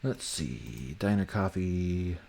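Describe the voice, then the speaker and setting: Deep-voiced man, lively and joking. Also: slow pace, creaky. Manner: joking, profane, muttering to himself. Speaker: livestream host and game developer. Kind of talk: casual chat while working on a game. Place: online voice call during a development stream.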